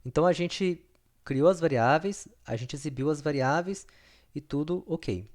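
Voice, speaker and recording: A man's voice: a little high, normal speed, darkish tone. Patient male programming teacher. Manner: patient, repeats himself, checks in with the students. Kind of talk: recorded screen-share lesson.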